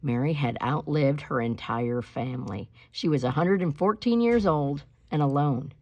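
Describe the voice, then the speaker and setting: Woman w a slightly low raspy voice reading a kids book out loud, dramatic and emphatic. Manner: emphatic and dramatic, slowing on key words. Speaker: reader of a children's picture book. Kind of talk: expressive read-aloud storytelling with spoken asides.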